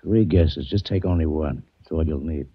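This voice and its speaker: A sardonic police lieutenant. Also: male voice, very deep voice, normal speed, slightly rough, heavily acted. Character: gruff sarcastic police lieutenant. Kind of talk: 1940s radio acting. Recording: dull old radio recording.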